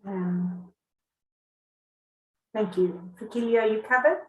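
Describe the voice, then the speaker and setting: A woman's voice: medium pitch, slow pace, darkish tone. A South African woman chairing an online academic session, speaking politely and hesitantly. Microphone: video call. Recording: echoey room, faint background noise.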